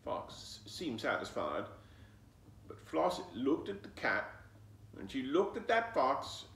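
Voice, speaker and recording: A man reading a storybook aloud to children in an animated, expressive way. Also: mid-range voice, takes it slowly. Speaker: storybook reader. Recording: roomy, echoing, distant microphone.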